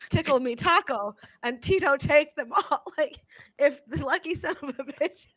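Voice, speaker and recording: A woman's voice: high voice, moderate pace, fairly bright tone, dry recording, rough recording. A woman in her thirties co-hosting a casual live online chat show from a room at home, playful and teasing, with a cough, talking into a handheld mic. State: has a cough. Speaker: co-host.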